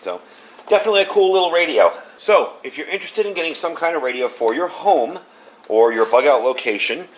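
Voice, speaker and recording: A man's voice: fairly high pitch, lively intonation, a little fast, poor audio. A man giving a casual product review in a conversational, unscripted, matter-of-fact way.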